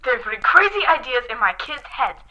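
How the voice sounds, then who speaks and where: Disapproving 1920s mother, radio caller acted by a woman, fairly high, worried, muffled recording. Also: big pitch swings, moderate pace, sharp tone, full character voice. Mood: indignant. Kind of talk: scripted 1920s radio show acting. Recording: dull lo-fi recording.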